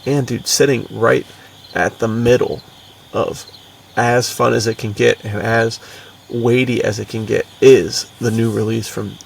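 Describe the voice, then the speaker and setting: A man with a strongly creaky, gravelly voice at an ordinary pitch. He talks like an enthusiastic podcast co-host, loose and slangy. He sounds a little away from the microphone, with faint noise behind him. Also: little pitch movement, measured pace, hardly any pauses, recorded from a distance. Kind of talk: casual podcast chat.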